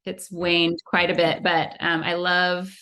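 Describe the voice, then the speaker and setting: Rambling, low-voiced woman guest from California. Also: little pitch movement, takes it slowly, sharp tone. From Central California. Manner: rambling, conversational, many fillers. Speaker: relationship coach guest. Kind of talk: casual interview chat. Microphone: video call recording.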